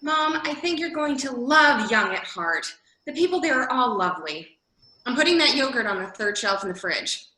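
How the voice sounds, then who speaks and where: A woman playing a brisk, exasperated adult daughter in a staged reading, speaking in a hurried, bossy, emphatic way. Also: on the high side, bright, forceful tone, echoey room, heavily acted, rough recording. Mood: bright, coaxing. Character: brisk, exasperated adult daughter managing her mother's move. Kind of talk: staged reading of a comic drama sketch.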